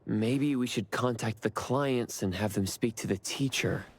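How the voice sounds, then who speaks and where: A man's voice: medium pitch, unhurried, slightly rough. A mild young junior colleague in an anime game. His mid-range voice is courteous and slightly hesitant, the sound of someone who tries to be considerate.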